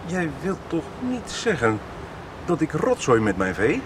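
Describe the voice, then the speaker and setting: An actor in a radio soap playing a proud, touchy middle-aged farmer, blunt, sarcastic and defensive. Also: male voice, fairly high pitch, huge pitch swings, a little slow, background noise. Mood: defensive, indignant.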